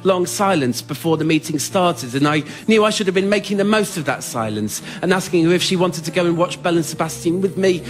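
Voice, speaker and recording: Higher-voiced man telling stories onstage. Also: animated, a little fast, few pauses. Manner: self-deprecating, understated, earnest. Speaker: writer-performer storyteller. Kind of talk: scripted comic storytelling monologue for live radio. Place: theatre stage before an audience.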